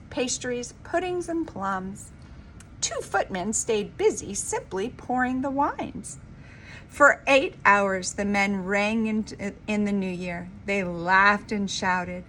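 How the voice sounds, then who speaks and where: A woman reading a children's storybook aloud like a teacher, with an expressive, emphatic delivery. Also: medium pitch. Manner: dramatic, emphatic delivery with informal asides. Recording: clearly noisy homemade recording.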